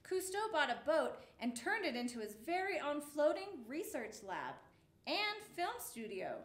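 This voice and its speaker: A woman with a high voice reads a children's story aloud in a roomy, echoey space. Her phrasing is measured and expressive, shaped for young listeners at storytime. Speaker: storyteller reading a picture book aloud.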